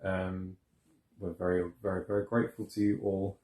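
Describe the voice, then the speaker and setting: Low, even-voiced man, roomy sound. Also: moderate pace. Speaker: amateur voice actor. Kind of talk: casual unscripted thank-you message. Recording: roomy echo.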